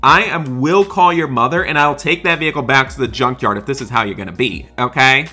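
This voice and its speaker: A man hosting a gaming roleplay as a dad, narrating excitedly in a dramatic, exasperated way and repeating himself. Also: mid-range voice, brisk. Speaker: gaming video host playing a dad in roleplay. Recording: background music behind voice. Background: music.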